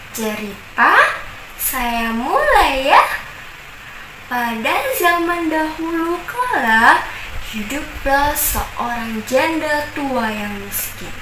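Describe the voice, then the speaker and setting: A young woman university student telling a folk tale in a slow, expressive, sing-song way. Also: high-pitched. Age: young adult. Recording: echoey room, distant mic, noisy.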